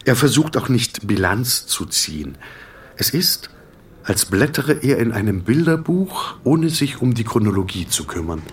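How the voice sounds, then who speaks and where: A man reading scripted literary narration for a radio drama in a measured, sober manner. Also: medium pitch, very animated, distant mic, slight background noise.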